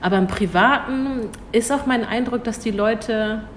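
A young woman answering interview questions in a thoughtful way, hesitating and restarting, quick to laugh. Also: a little high, slight background noise. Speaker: cultural attaché at an embassy.

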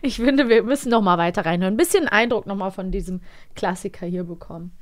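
A woman with a medium-pitched voice hosts a magazine show about audio dramas. She chats informally and playfully, as in a relaxed conversation. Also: fast.

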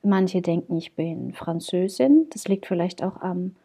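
A woman's voice: on the low side, lively intonation, measured pace, dark tone, husky. A woman, hosting a podcast in casual, chatty talk, often laughing at herself. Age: 30s. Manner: chatty and laughing, self-mocking. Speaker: podcast host. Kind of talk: casual chat.

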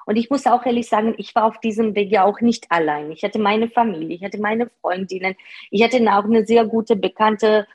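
Husky, hesitant woman in her thirties. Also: medium pitch, brisk, a little mellow. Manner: hesitant, searching for words, many fillers. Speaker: podcast guest, part-time manager. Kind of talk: conversational interview answers.

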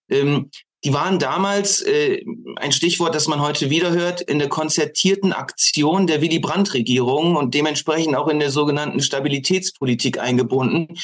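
A man speaking as a podcast guest in analytical political discussion, choosing academic words deliberately, with hesitant pauses. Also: on the high side, a bit bright.

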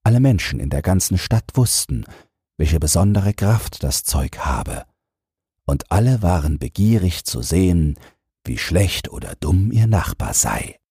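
Very deep, husky male storyteller. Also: big pitch swings, measured pace, dark tone, dry recording, studio quality. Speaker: audiobook narrator. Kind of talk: scripted fairy-tale narration, bedtime storytelling.

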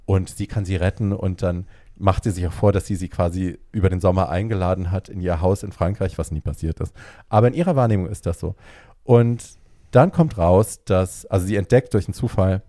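A man co-hosting a podcast, casually retelling a film's plot in a lively way and dropping in English phrases. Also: low-pitched, fairly quick, no room sound, studio quality. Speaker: podcast co-host. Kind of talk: casual chat retelling a film.

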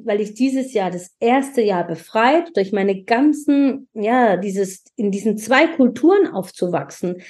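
A woman in her forties from Germany, a podcast guest telling her own story in an open, emotional way with many restarts. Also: a little high. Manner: expressive, emotional, frequent restarts. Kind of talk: candid personal storytelling.

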